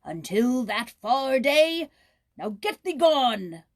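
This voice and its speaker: Woman putting on a pompous, scornful storybook king, slow and loud. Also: sits high, big pitch swings, pitched above the performer's own voice, exaggerated voice. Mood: stern, commanding. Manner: pompous, slow archaic declaiming. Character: haughty, scornful old-fashioned fairy-tale king.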